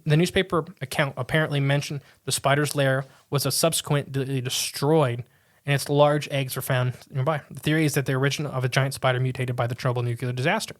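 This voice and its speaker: A man's voice: medium pitch, flat delivery, quick pace. A man co-hosting a podcast, reading a strange tale aloud with casual asides, often tripping over words and restarting. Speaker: podcast co-host.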